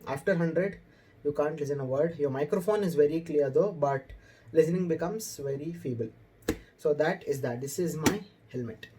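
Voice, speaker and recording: A man's voice: on the high side, normal speed, dark tone, a little hiss or hum, poor audio. A young man with an Indian accent talking casually to camera as a motorcycle vlogger, explaining things informally, recorded indoors in a room. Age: young adult. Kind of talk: casual vlog talk to camera.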